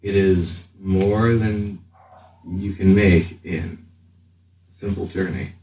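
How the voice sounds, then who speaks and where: A man playing a grave, stoic, haunted warrior in a tabletop game, speaking in a halting, formal monotone. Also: sits low. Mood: solemn, foreboding. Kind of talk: tabletop roleplay acting. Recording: roomy distant mic, faint hiss.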